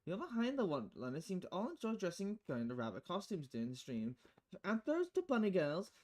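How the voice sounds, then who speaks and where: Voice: medium pitch, voice pushed up, close to an everyday voice. A performer voicing an upbeat news reporter reading quirky headlines aloud in a presentational announcer manner. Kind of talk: scripted news article read aloud.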